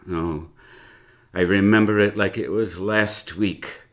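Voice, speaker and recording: Slow-talking elderly storytelling uncle. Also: male voice, deep voice, fairly even intonation. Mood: reflective. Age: older. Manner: hesitant, chuckling, old-fashioned wordy storytelling. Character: elderly uncle recounting his youth, wry, reflective, teasing. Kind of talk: live radio drama acting.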